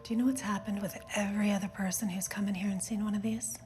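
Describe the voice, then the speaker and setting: An actress playing a manipulative clinic director in a film drama, measured, hushed and persuasive. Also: female voice, mid-range voice, steady tone, faint music behind, lightly acted. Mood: hushed, conspiratorial. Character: smooth, manipulative woman clinic director, quietly sinister. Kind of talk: film drama villain acting.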